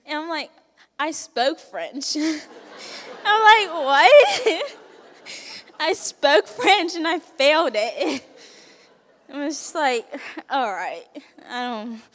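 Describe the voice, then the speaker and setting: A woman's voice: sits high, very animated, extremely slow, long pauses, a bit bright, long reverb tail. A teenage girl, not a native English speaker, giving a candid personal speech at a banquet, laughing often and acting out what people said. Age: teens. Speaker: resident giving a testimonial.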